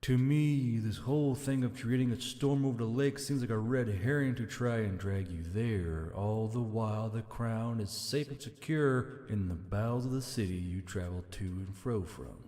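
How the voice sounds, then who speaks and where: Deep, cavernous, ancient male spirit played by a man. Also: moderate pace, few pauses, mellow, natural voice. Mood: grave, knowing. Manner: formal, archaic storytelling, easily exasperated. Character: ancient aloof sentient torque, exasperated, storytelling male spirit. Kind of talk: scripted audiobook character acting. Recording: long reverb, music behind.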